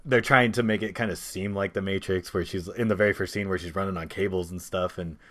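A man's voice: low voice, steady tone, fast, a bit bright. A man hosting a casual film discussion among friends, speaking in an informal, conversational and mildly sweary way. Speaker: podcast host.

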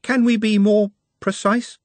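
A man's voice: high-pitched, flat delivery, a little slow, darkish tone, no echo, voice pushed up. A man reading an audiobook, voicing an anxious, precise young male wizard who hedges before bad news. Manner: hesitant and precise, with fussy hedging.

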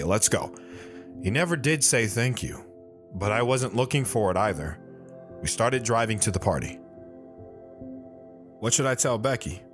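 A man's voice: fairly low pitch, close to an everyday voice. A man playing an earnest, guilt-ridden soldier, narrating his hard life in a sober, plain-spoken way for an audio drama. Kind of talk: dramatic first-person narration.

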